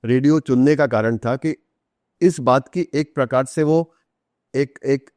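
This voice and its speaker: Male podcast guest, Indian accent, mid-pitched, articulate explainer who repeats for emphasis. Dry recording. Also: a little slow, darkish tone, dry recording. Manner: articulate, emphatic repetition, Hindi mixed with English terms. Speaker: author guest. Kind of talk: podcast interview, explanatory talk.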